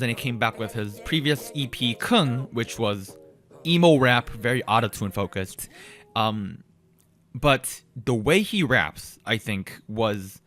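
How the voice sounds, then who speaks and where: A man's voice: mid-range voice, fairly bright tone. A man co-hosting a music podcast in casual chat, rambling with frequent ums and self-corrections. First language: Korean. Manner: rambling, many ums and restarts, laughs at himself. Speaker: podcast co-host.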